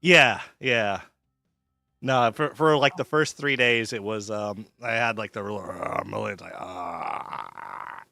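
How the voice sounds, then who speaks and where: A man's voice: medium pitch, a little slow, bright, forceful tone, dry recording, studio quality, faint music behind. A man co-hosting a casual podcast chat, bubbly and rambling, laughing often, with a sore throat. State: sore throat from a strained vocal cord. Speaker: co-host.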